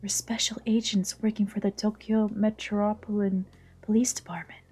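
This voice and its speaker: A woman voicing a composed female police agent, polite and matter-of-fact, in a soft breathy voice. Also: medium pitch, flat delivery, moderate pace, faint music behind, pitched above the performer's own voice, lightly acted. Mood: cool, formal.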